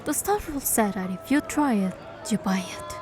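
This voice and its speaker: A woman's voice: mid-range voice, lively intonation, normal speed, noisy background, lightly acted. A woman voicing a composed, playful young noblewoman who narrates her fantasy game adventure in a fan dub. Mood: composed, curious. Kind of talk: first-person scripted narration and dialogue, fan dub.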